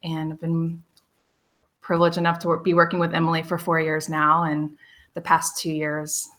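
Missouri woman, bright voice, bit lowish, easy laughs. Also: completely flat delivery, moderate pace. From Southwest Missouri, USA. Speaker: nonprofit program director. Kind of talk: casual interview.

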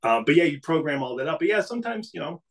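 Middle-aged New England man, relaxed guest with a rambling, easygoing delivery. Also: a little high, fast talker. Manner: relaxed storytelling with frequent restarts. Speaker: podcast guest, business CEO. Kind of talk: casual interview conversation.

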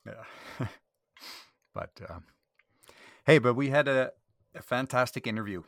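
A man co-hosting a casual podcast, easygoing and quick to laugh. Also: medium pitch, gravelly, no room sound, clean studio sound. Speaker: podcast co-host.

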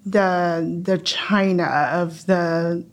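A woman in her forties from Taiwan, a native Mandarin speaker, talking unhurriedly and thoughtfully as a podcast guest, with soft hesitations. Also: on the low side, level tone, extremely slow. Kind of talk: casual interview chat.

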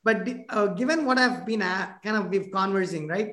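A software engineer living near Washington DC who grew up elsewhere, talking casually over a video call with lots of fillers, restarts and tag questions. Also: medium pitch, moderate pace. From abroad, now living near Washington DC. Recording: echoey room.

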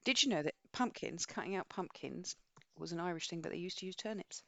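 Female podcast co-host, slightly low voice, dry close sound, casual and digressive book chat. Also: lively intonation, fairly quick, vocal fry, no echo. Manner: rambling, digressive.

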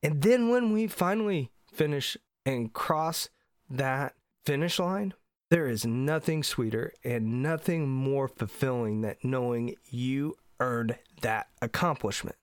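A man's voice: mid-range voice, slow pace, clear, resonant tone, dry recording. Man, middle-aged, podcast host, stuffy congested voice, earnest and emphatic, dry close sound. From Indiana. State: congested from allergies. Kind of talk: solo podcast monologue.

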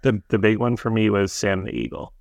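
Gravelly low-voiced man podcast co-host. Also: steady tone, no room sound, clean studio sound. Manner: halting, restarts with ums and uhs. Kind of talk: casual chat.

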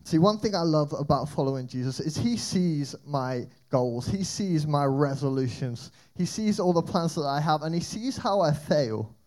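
A young man speaks in a mellow, somewhat high voice, chatting like he's telling friends a story. He slips in rhetorical questions as he gives his faith talk in a church hall. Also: expressive, normal speed, few pauses. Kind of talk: energetic youth talk.

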